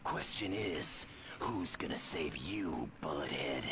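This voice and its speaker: A man voicing a gruff, sarcastic, superpowered bruiser in a video game, taunting in slangy speech. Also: very deep voice, animated, slow pace, very noisy, full character voice. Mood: mocking, cocky. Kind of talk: video game cutscene voice acting.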